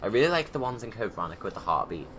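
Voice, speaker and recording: A man's voice: lowish voice, sing-song, very fast, fairly bright tone, slight background noise. A man giving casual, informal livestream commentary, rambling and thinking aloud as he goes. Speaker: video creator giving commentary.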